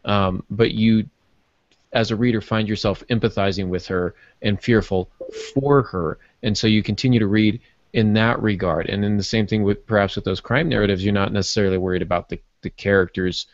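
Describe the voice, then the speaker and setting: A man talking through fiction writing as a podcast panelist in casual discussion, with a measured, halting delivery as he thinks aloud. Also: lowish voice, fairly even intonation, dry recording, muffled recording. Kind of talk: literary discussion, casual chat.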